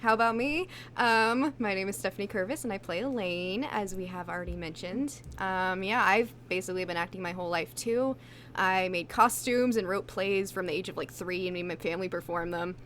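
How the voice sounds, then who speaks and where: A woman's voice: medium pitch, brisk, a bit bright, a little hiss or hum. A woman, an actress being interviewed, speaking in an informal, conversational way. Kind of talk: casual interview chat.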